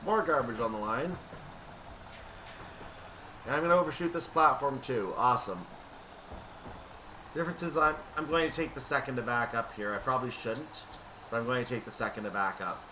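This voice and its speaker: A man giving casual commentary on a train simulator, thinking aloud and explaining in an unhurried way. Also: mid-range voice. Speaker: gaming video creator. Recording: distant mic, clear background noise.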